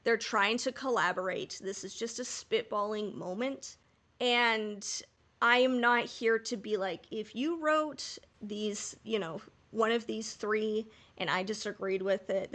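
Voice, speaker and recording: A woman's voice: medium pitch, unhurried, a bit bright. A woman co-hosting a podcast in casual fan discussion, speaking candidly and emphatically, with the odd casual swear. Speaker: podcast host.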